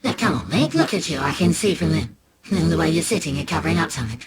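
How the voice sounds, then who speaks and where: A woman's voice: low-pitched, very animated, fast talker, gravelly, a crowd in the background, heavily acted. A woman storyteller voicing a cheery father who turns exasperated at his son. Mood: cheery coaxing. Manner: cheery, then loud and incredulous. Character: cheery dad turning exasperated, middle-aged man.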